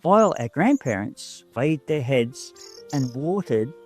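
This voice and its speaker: A man reading a first-person story as an audiobook narrator, in an expressive storybook style. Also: medium pitch, a little slow, faint music behind.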